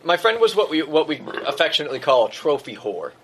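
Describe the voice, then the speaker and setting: A man's voice: fairly high pitch, big pitch swings, fast talker, fairly bright tone, breathy edge. A man hosting a casual podcast, rambling and joking with friends, swearing freely. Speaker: podcast host.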